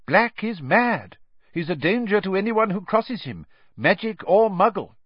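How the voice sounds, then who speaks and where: A man's voice: sits high, expressive, measured pace, no room sound, muffled recording, voice pushed up. A man voicing an irritable, flustered government minister with a pompous, official manner in a storybook. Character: irritable, flustered, self-justifying older male government minister.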